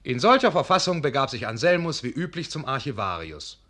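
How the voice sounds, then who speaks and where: Radio play narrator, urbane storyteller, lots of melody in the voice. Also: male voice, medium pitch, very animated, brisk, sharp tone. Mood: wry, measured. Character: urbane, expressive male storyteller. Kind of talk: dramatic literary narration for radio.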